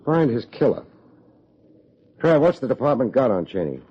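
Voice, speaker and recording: An American actor playing a wry, self-deprecating private investigator in an old-time radio drama, with a deadpan, wisecracking delivery. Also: male voice, medium pitch, normal speed, husky. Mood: dry, probing. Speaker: lead actor, narrator. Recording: muffled old radio recording.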